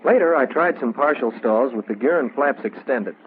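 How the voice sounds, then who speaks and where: Male actor as confident young American pilot, 1940s training film narration, measured storytelling, tinny bandwidth, clear background noise. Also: mid-range voice, lively intonation, lightly acted. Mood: matter-of-fact. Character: confident young male military pilot recounting his practice flights. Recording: muffled, very noisy 1940s film soundtrack.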